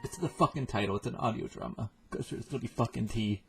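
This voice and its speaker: Really deep voiced lady doing offhand sweary reactions, loud music going behind her. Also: quick pace, creaky. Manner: flippant, sweary. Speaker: reaction video host. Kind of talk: casual reaction commentary.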